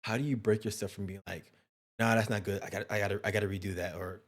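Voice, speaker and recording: A man's voice: lowish voice, fairly even intonation, fast talker. Rambling, self-deprecating male host. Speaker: interview host. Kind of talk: casual interview chat.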